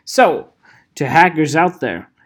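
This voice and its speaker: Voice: mid-range voice, lively intonation, takes it slowly. A vlogger ranting casually to camera, swearing and joking in a mock-angry way.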